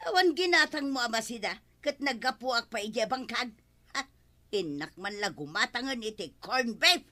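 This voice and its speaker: An actress with an Ilocano Filipino accent playing a nagging, suspicious village wife in a radio comedy drama. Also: female voice, a little high, expressive, moderate pace, sharp tone. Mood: scolding.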